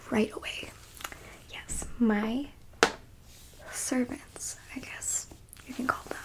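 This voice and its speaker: A woman playing a coolly clinical, smug and curious alien abductor in an ASMR roleplay, in a soft breathy whisper with a coyly condescending manner. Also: on the high side, low-quality recording.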